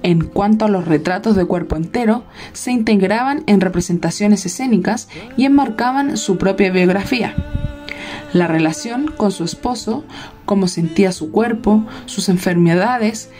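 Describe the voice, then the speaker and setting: A woman reading a scripted documentary narration in a formal, measured manner. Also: medium pitch, mellow, soft background music.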